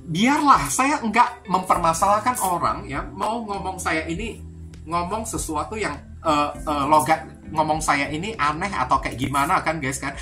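Woman, from Indonesia, tarot reader, slightly low pitch, bright edgy tone, chatty, repetitive, informal, addressing viewers. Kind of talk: informal card-reading talk to viewers. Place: indoors at a card table. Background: music.

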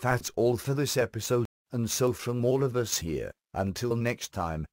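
A man's voice: mid-range voice, expressive, unhurried, a little mellow, no room sound. A British man narrating a scripted comic review as the host, in a sardonic, dry-humoured manner.

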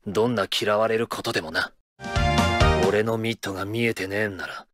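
A man voices a cocky senior catcher at a medium pitch. He sounds shrewd and dependable, delivering game lines over loud music. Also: lightly acted. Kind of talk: anime baseball game voice lines.

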